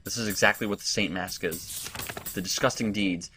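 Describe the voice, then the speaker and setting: A man with a fairly low voice narrates his own let's play. He reads the on-screen story text aloud, then breaks off to work through it in halting, casual remarks. Soft game music plays underneath. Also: fairly even intonation. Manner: halting, thinks aloud with restarts. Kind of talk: reading game text and reacting.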